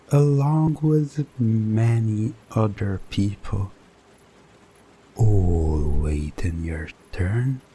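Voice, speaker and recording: A man narrating a scripted second-person ASMR roleplay in a deliberate, suspenseful way, breaking sentences into short phrases. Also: lowish voice, warm tone, a little hiss or hum, low-quality recording. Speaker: hypnosis audio narrator.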